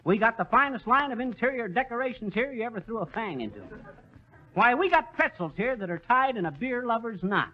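A blustery small-town know-it-all shopkeeper, high-voiced and swinging widely in pitch. He talks in folksy slang, as in 1930s radio comedy, on a muffled old recording. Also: animated, fairly quick. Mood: pompous salesman.

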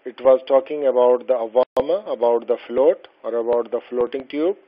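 A man teaching an Arabic textbook lesson, who is not a native speaker of Arabic, explaining slowly and patiently with frequent fillers and restarts. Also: mid-range voice. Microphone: voice-over over slides. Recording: dull lo-fi voice-over.

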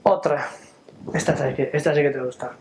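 Interviewer guy, bit high voice, laid back, reading off a tablet, faint hiss. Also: fast talker. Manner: relaxed, prompting, reads from a tablet. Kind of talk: studio talk show discussion. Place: studio set, seated on a sofa.

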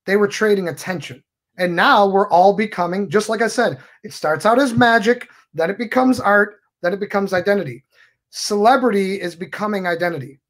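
A man's voice: sits high, brisk, a bit bright. Philosophizing man on a headset. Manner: expansive, philosophical, gestures a lot. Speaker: magician guest. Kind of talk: casual podcast chat.

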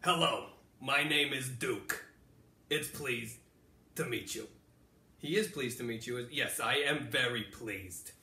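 A courteous dog puppet speaks in a slow, lowered, mild voice, put on by a man. It sounds roomy and echoey, picked up by a camera across a living room. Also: sharp tone, exaggerated voice. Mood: polite. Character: polite gentle male dog puppet. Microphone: far camera.

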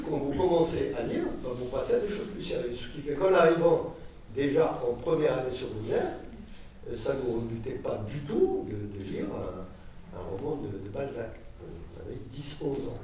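A man's voice: mid-range voice, normal speed. An older man from Morocco speaking to a student audience in a seminar room, telling stories with many fillers and digressions. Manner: digressive storytelling, lively gestures, frequent fillers. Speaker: guest speaker. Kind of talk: informal lecture to students. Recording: echoey room, distant mic, faint noise.

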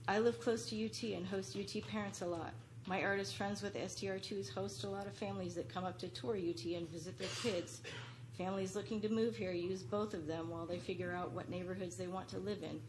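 A woman's voice: lowish voice, background noise. A middle-aged woman reads an earnest, pleading prepared statement as a public commenter at a podium microphone in a large council chamber. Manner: earnest, pleading, read from notes.

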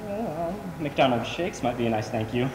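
A man's voice: medium pitch, expressive, moderate pace, lightly acted. A young man on stage playing a flamboyant, witty, ailing drag queen with campy, projected delivery. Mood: tender. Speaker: stage actor in a school play. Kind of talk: theatrical stage acting. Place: large theatre stage, indoors. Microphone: far camera. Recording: echoey theatre, distant microphone, clear noise.

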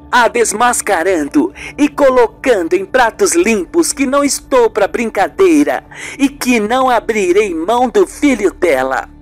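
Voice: medium pitch, voice pushed up, natural voice. A performer as a determined soap opera heroine. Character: calm, determined woman planning a confrontation. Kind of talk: radio soap opera acting.

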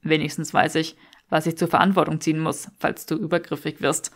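A woman's voice: sits low, little pitch movement, a little fast. A woman voicing a smug, teasing young aristocratic man with a drawling, mock-serious manner in an audiobook.

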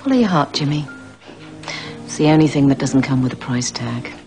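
A guilty, hesitant English teacher. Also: female voice, extremely low pitch, lively intonation, brisk, a little mellow, soft background music. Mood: earnest. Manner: hesitant, breathy, stammering restarts. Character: troubled married woman teacher, guilty. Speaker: teacher in a soap opera. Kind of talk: television drama acting.

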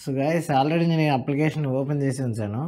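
A man's voice: fairly high pitch, fairly quick, very noisy. Man explaining a phone app. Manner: explains plainly, mixing English words into Telugu. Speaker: tech channel host. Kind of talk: screen-recorded app tutorial.

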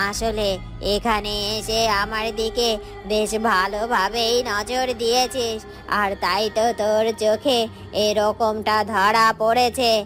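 A woman's voice: on the high side, fairly bright tone. Sinister, boastful cartoon witch. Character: sinister boastful witch who worships dark powers. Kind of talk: Bengali fairy-tale cartoon acting.

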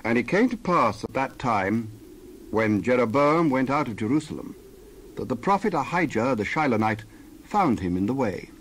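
Guy narrating the bible, medium pitch, solemn and measured, carefully phrased storytelling. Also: sing-song, slight background noise.

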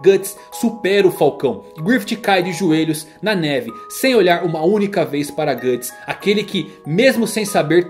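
A man's voice: a little high, darkish tone. A man with a Brazilian accent giving a scripted, solemn and theatrical narration of a story. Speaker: YouTube anime and manga narrator. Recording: music under the voice. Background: background music.

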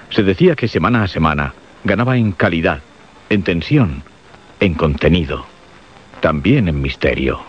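A man with a Castilian Spanish accent narrating a suspense radio drama solemnly and deliberately, in short phrases. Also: sits low, slightly rough. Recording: muffled radio recording.

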